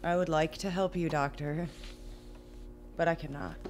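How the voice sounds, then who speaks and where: A woman playing an agitated, earnest captive from another world in an audio drama, speaking formally and deliberately. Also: deep voice, steady tone, darkish tone, soft background music, lightly acted. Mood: guarded. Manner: formal, deliberate, avoids contractions.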